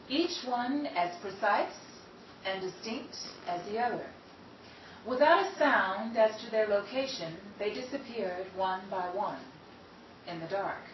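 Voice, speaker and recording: A woman narrates a radio-style mystery in a slow, measured way and then signs off as the show's host. Her voice is high. The recording sounds dull and far from the mic, with a roomy echo and clear hiss. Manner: slow, measured, dramatic delivery. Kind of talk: scripted radio narration and sign-off.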